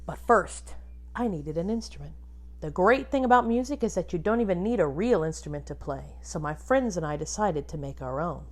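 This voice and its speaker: A woman teacher reading a picture book aloud to children expressively, pausing to ask them questions and point things out. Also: fairly low pitch. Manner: animated, addresses young children with questions.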